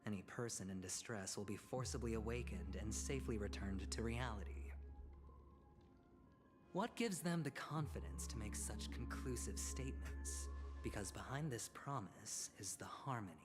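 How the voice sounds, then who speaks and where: An actor playing a smooth-talking, self-assured male schemer in video game story dialogue, theatrical and persuasive, over music. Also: on the low side, expressive, moderate pace, close to an everyday voice. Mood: dramatic, accusing.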